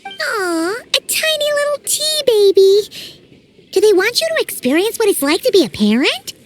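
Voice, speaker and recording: A woman voicing a small, cheerful, childlike fairy companion in a video game, with a squeaky voice and exclaiming manner. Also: sing-song, unhurried, background noise, heavily acted. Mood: sympathetic, curious. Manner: speaks of herself in third person, exclaiming. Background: music.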